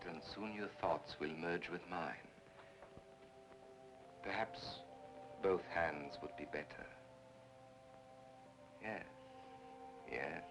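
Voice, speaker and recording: An actor playing a suave, seductive fake psychic in a slow, theatrical 1940s film noir style, with dramatic pauses. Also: male voice, low-pitched, exaggerated voice, rough recording. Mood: coaxing, intimate.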